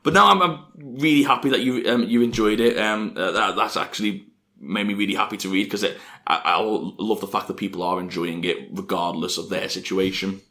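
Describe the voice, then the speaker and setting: A man's voice: on the low side, steady tone, brisk, bright, forceful tone. A man co-hosting a podcast in casual chat. He talks loosely, repeats his words and laughs often. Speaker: podcast co-host.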